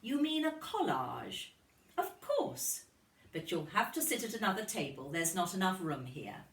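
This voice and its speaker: A kind female primary teacher in a children's story, voiced by a woman reader. She is encouraging and gently instructive, with very varied pitch. The room echoes around her. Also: big pitch swings, measured pace. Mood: helpful. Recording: roomy, distant microphone.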